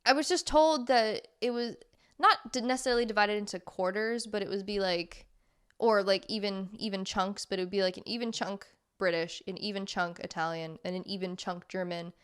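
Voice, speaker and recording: A woman co-hosting a podcast in casual chat, talking in rambling, chatty runs full of quick asides. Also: medium pitch, steady tone, clean tone. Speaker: podcast co-host.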